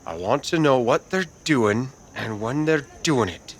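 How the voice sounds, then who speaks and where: A man voicing a menacing, controlling Old West crime boss in a radio western, with drawn-out, forceful delivery. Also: mid-range voice, lively intonation, a little hiss or hum, pitched above the performer's own voice, lightly acted.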